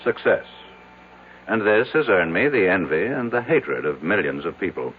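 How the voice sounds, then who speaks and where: A man's voice: low voice, big pitch swings, normal speed, phone-quality sound. An actor playing a skeptical, arrogant businessman in an old-time radio drama, brusque and insistent in formal diction. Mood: self-important, boastful. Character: skeptical, arrogant rich businessman, demanding rational answers. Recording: noisy, band-limited vintage radio drama recording.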